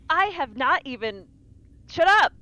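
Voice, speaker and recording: A woman's voice: extremely high pitch, sing-song, a little slow, sharp tone. A woman roleplaying in a tabletop game with a sardonic manner.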